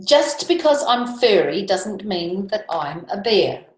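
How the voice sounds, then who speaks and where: A woman's voice: medium pitch, big pitch swings, slow, reverberant. A woman voicing a grumpy, indignant male koala from a storybook, blunt and protesting in slangy speech. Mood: matter-of-fact grumpy.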